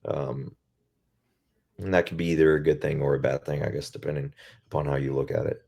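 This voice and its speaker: Man, extremely low deep voice, casual reflective interview talk, halting phrasing. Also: level tone, gravelly, a little mellow, breathy edge. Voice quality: naturally very deep voice. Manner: reflective, searching for words with many pauses. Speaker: band singer as guest.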